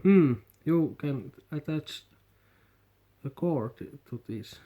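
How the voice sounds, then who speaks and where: Slow, halting Finnish-accented man. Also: fairly high pitch, expressive, warm tone. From Finland. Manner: halting, repeats words while searching for them. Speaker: toy unboxing host. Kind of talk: casual unboxing commentary.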